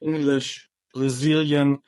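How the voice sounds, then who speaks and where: A man answering interview questions about his company, speaking in halting phrases with frequent restarts. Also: medium pitch, little pitch movement, a little mellow, clean tone, no echo. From Germany. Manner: halting, many restarts and repeated words. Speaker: roofing company manager.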